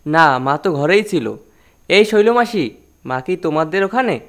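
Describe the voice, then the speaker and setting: Dutiful lad, raised pitch. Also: male voice, lively intonation, measured pace, a bit bright. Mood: urgent. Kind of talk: audio drama acting.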